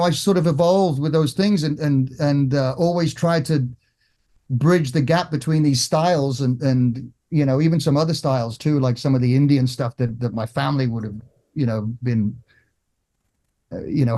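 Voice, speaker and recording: A middle-aged man from England, a guest guitarist, talking in a casual interview with many restarts and pauses. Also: mid-range voice, warm tone. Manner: halting, many restarts and fillers.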